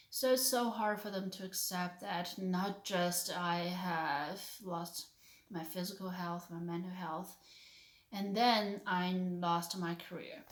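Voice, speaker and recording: A woman in her thirties from China, speaking English with a Chinese accent as a non-native speaker, giving an earnest personal faith testimony in a halting way, searching for words. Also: lowish voice, echoey room. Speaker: vlogger, stay-at-home mother.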